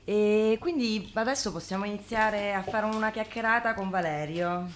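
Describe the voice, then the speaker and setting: A woman's voice: mid-range voice, moderate pace. A woman asking casual interview questions in a hesitant, slightly self-conscious way. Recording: noticeable background noise.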